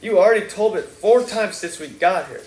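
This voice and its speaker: A man's voice: high voice, expressive, moderate pace, darkish tone, roomy sound, slight background noise, voice pushed up, rough recording. A man reading aloud and voicing a chatty, doting middle-aged Southern mother in a gushing, folksy way. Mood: doting chatter.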